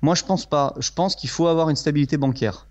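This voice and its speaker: A man in his thirties, a guest investor, who answers interview questions in a plain-spoken, frank and somewhat halting way. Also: fairly high pitch. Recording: dull lo-fi sound.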